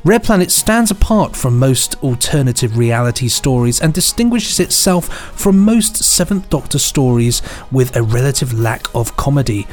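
A man's voice: mid-range voice, big pitch swings, normal speed, a little mellow, soft background music. A man co-hosting a podcast, reading out scripted reviews with some light banter. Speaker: podcast co-host.

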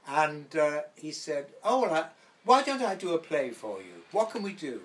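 A man's voice: a little high, big pitch swings, normal speed, a little hiss or hum. An older man from Wales with a light Welsh accent, reminiscing as a guest in an interview and acting out the voices in his stories. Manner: rambling anecdotal storyteller, acts out quoted voices. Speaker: actor guest.

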